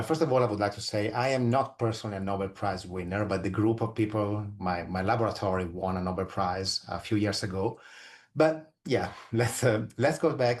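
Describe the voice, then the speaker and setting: Italian-accented man, slightly low voice. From Italy. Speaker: interview guest, physicist and astronomer. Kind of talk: informal explanatory interview talk.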